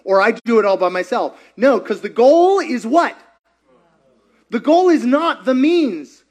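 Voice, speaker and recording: High-voiced man preaching in repetitions. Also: sing-song, unhurried, darkish tone. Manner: preacherly, repeats lines for emphasis, asks the audience questions. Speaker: pastor. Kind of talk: sermon-style teaching.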